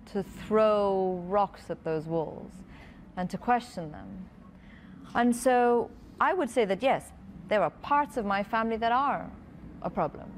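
Author guest, woman, thirties, from Pakistan; measured and earnest, speaking on a festival stage with faint ambience. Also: mid-range voice, slight background noise. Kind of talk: reading aloud and answering interview questions. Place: festival stage before a large audience.

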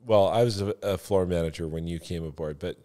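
A man's voice: low voice, brisk, dark tone. A man in casual podcast banter, telling sales stories assertively and repeating himself for emphasis. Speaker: former car salesman and floor manager, podcast talker.

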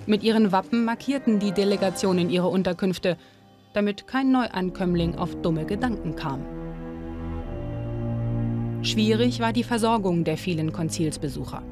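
A woman narrating a documentary and interviewing, measured and clear. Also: mid-range voice. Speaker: documentary presenter. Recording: music bed under voice.

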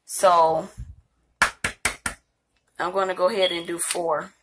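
A woman's voice: fairly low pitch, level tone, takes it slowly, slight background noise. A middle-aged woman hosting a home cooking video, chatting casually to viewers as she cooks in her kitchen while filming with her phone. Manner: chatty, rambling, talks straight to viewers. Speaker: home cooking channel host. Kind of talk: casual cooking demo chat. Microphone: handheld phone camera.

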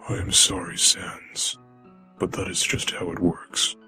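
A man voicing a gentle, sorrowful old monster father in a cartoon, speaking in a formal, solemn, measured way. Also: deep voice, completely flat delivery, faint music behind, heavily acted. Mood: resigned, kind. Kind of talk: animated fan dub acting.

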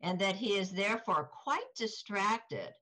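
A woman's voice: medium pitch, expressive, unhurried, bright, forceful tone. An older woman reading scripted narration over a video call. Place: small indoor room, on video call. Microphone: video call, close to laptop.

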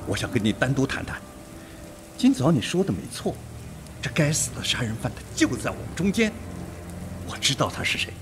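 A man's voice: mid-range voice, sing-song, a little fast, pauses often, noisy background, lightly acted. A man acting an insistent, suspicious police detective in a Mandarin radio drama. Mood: urgent, suspicious.

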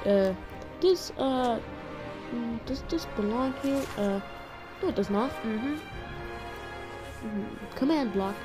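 A woman's voice: fairly high pitch, lively intonation, takes it slowly, pauses often. A woman voicing a frustrated, obsessive cartoon stick-figure inventor in an improvised comedic fan dub, muttering and swearing. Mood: muttering, absorbed. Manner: muttering, profane. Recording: music playing behind the voice. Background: background music.

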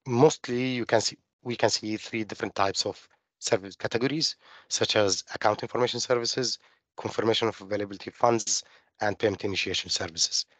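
A middle-aged man from Jordan, giving a technical webinar presentation over a video call, halting with many fillers and restarts. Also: fairly low pitch, fairly even intonation, a bit bright, no room sound. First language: Arabic. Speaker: webinar presenter on open finance.

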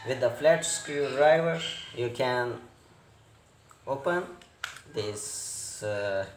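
A man explains a repair step by step as a non-native English speaker, in an unhurried, hesitant way with short broken phrases. His voice sits at an ordinary pitch. The recording is roomy and echoey, with clear noise behind him. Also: expressive. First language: not English. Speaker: repair tutorial presenter. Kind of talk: hands-on repair tutorial narration.

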